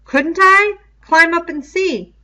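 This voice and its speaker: A woman's voice: very high voice, slow pace, pushed and bright, pitched above the performer's own voice, exaggerated voice. A woman voicing a commanding, boastful young boy sentry in a storybook, ringing and challenging. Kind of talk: storybook read-aloud character voice.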